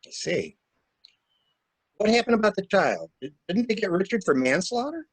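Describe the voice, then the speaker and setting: Man acting a wry, probing stranger, animated delivery. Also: high-pitched, moderate pace, slightly rough, no echo, heavily acted. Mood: probing, curious. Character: shrewd, wry male stranger coaching a new widow. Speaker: library staff reader. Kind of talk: dramatic reading of a murder mystery.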